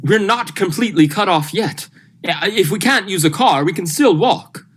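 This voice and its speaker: A woman's voice: mid-range voice, lively intonation, normal speed, a little hiss or hum, natural voice. A woman playing a steadying, practical doctor in a vintage radio thriller, speaking in an earnest, reassuring way.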